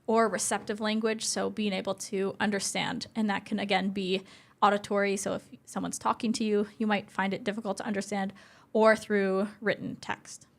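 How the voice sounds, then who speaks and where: A woman's voice: mid-range voice, little pitch movement, moderate pace, vocal fry. A young adult woman, speaking as an interview guest and explaining things earnestly, step by step. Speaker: interview guest, communication support specialist.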